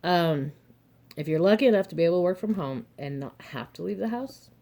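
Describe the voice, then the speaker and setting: A young adult woman, a little hoarse and throaty, chatting frankly to her viewers with advice, laughing often and cursing mildly. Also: on the low side, animated, a little fast, mellow. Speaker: vlogger, single mother and reseller. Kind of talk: casual advice monologue to camera.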